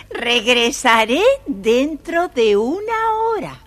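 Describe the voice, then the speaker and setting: A woman's voice: a little high, big pitch swings, extremely slow, fairly bright tone, heavily acted. A woman voicing a slyly menacing old witch with a theatrical, mocking delivery in a children's audio storybook. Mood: commanding, gloating. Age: older.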